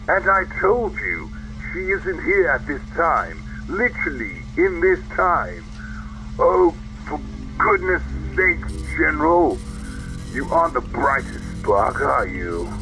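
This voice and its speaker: A man's voice: fairly high pitch, big pitch swings, slow pace, bright, forceful tone, soft background music, full character voice, poor audio. A man playing a smug, sardonic villain in a sci-fi audio drama, drawling and taunting. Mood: condescending. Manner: drawling, taunting, theatrically casual.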